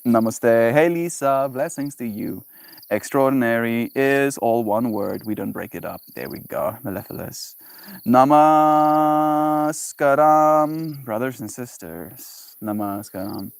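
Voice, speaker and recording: A man's voice: medium pitch, slow pace, gravelly, smooth, dry recording. Playful man, dry sound. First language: Afrikaans. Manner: playful, musing aloud, savoring and repeating words. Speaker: livestreamer. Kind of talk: casual solo livestream chat.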